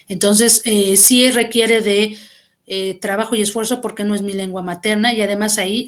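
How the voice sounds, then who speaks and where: A Mexican woman, a guest translator, talking through her experience with thoughtful explanations and small restarts over a video call. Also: medium pitch. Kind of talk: conversational class interview.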